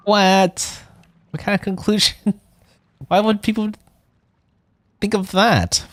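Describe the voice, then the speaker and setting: A man's voice: high-pitched, slow, a bit bright, no echo. A man giving casual, reactive, rambling live commentary while streaming a game.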